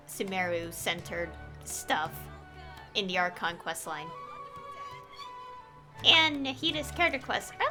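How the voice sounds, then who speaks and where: A young woman streaming a game, chatting casually and rambling with many fillers and little laughs. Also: very high-pitched. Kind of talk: casual gameplay commentary. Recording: game music playing behind.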